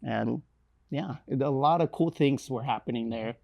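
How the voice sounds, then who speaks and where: A young man from Romania with a Romanian accent, telling his life story in an interview with many pauses, fillers and restarts. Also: medium pitch, vocal fry, darkish tone. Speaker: missionary guest. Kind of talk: interview, personal testimony.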